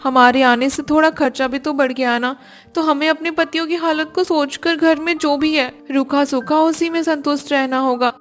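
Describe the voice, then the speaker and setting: A woman's voice: high voice, fairly even intonation, normal speed, soft background music, natural voice. A woman voicing a kind, sensible elder daughter-in-law. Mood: gentle.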